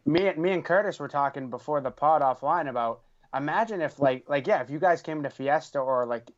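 A man's voice: fairly high pitch, brisk, lo-fi sound. A man with a Boston accent co-hosting a podcast, chatting casually in a joking, rambling way. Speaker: podcast co-host. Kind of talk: casual chat.

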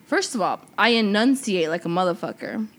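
Brief: young woman co-host, outspoken and crude, hits her words hard in relaxed podcast talk. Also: medium pitch, normal speed. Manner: blunt, profane, emphatic. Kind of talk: casual podcast chat.

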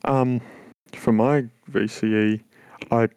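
A young man with a very deep voice talks slowly and hesitantly, restarting his sentences as he chats on a podcast. The recording is dry and close, with little room sound. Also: steady tone, a little mellow, smooth, dry recording, poor audio. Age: young adult. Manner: halting, hesitant, many restarts. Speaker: podcast co-host. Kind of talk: casual chat.

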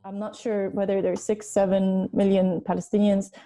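A woman interviewer with a very dark, mellow tone and a flat, even delivery. She frames her questions in a measured, careful way. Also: medium pitch.

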